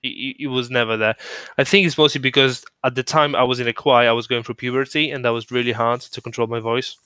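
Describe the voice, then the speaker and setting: A man's voice: medium pitch, steady tone, fast talker, bright, forceful tone, clear, resonant tone, no echo. A man chatting casually on a stream, rambling with restarts, who moved to the UK from abroad. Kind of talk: casual chat.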